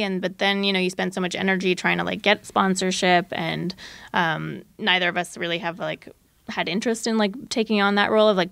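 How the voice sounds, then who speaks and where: A woman's voice: medium pitch, creaky, fairly bright tone, no echo. A woman speaking as a podcast producer in a casual interview, tentative and hedging, sprinkling in "like" and "you know".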